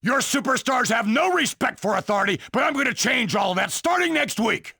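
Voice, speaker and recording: A gravelly, domineering boss. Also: male voice, very high-pitched, expressive, brisk, sharp tone, no room sound, studio quality, full character voice. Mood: menacing. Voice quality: gravelly, hoarse. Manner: belligerent, imperious. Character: angry, domineering older male wrestling company boss. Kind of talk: video game cutscene acting.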